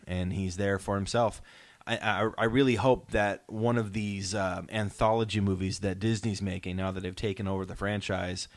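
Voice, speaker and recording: A man hosting a casual podcast discussion, talking in an explanatory and encouraging way. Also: sits low. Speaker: podcast host.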